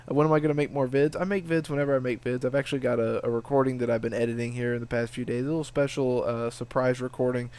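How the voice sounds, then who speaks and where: A man's voice: mid-range voice, steady tone, fast talker, few pauses, a little mellow. Young guy just rambling thru viewer questions, kinda sniffly and stuffed up, swears a lot, totally chill. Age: young adult. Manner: rambling and laid-back, lots of swearing and restarts. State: sniffly with a sore throat. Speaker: video game walkthrough channel host. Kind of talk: casual answering of viewer questions.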